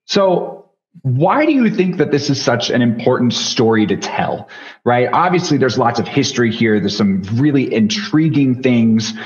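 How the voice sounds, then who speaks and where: A man's voice: mid-range voice, big pitch swings, moderate pace, darkish tone. A man in his thirties hosting a casual interview. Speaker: interview host. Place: small home studio, indoors. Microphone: desk microphone on boom arm, headphones. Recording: slightly dull video-call audio.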